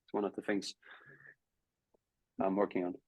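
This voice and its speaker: Low-voiced Dutch-accented man. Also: completely flat delivery, normal speed, darkish tone, no echo, rough recording. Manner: hesitant, repeats words while searching for phrasing. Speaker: guest, travel video creator. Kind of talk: casual interview talk.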